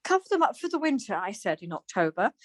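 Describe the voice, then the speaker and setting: A woman's voice: mid-range voice, very animated, fast, no echo, clean studio sound. A woman acting a scatty, easily exasperated wife in a domestic radio comedy. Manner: flustered, snippy.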